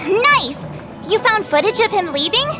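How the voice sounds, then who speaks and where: A woman voicing an excitable, theatrical young girl detective in a video game, playful and dramatic. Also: very high-pitched, normal speed, loud music behind the voice. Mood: excited, cheerful. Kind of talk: animated video game voice acting.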